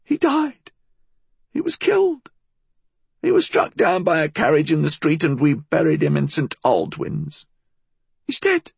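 A man narrating an audiobook plays a frail, cunning old blind woman with a menacing air. The voice is pushed high and swoops about, hissing and husky, with bitter formality in every phrase. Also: sing-song, a little slow, pauses often, no room sound, heavily acted. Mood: frightened, defensive.

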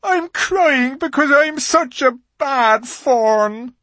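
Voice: medium pitch, measured pace, a bit bright, no echo, pitched above the performer's own voice. A reader voicing a tearful male faun who is guilty and sorrowful, sobbing and groaning as he confesses.

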